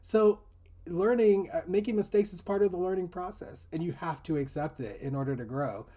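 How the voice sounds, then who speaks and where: A man with a slightly high voice speaks in a casual discussion group. He explains things in an earnest, reflective way, like a teacher, with plenty of "you know" and "like" along the way. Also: normal speed, mellow.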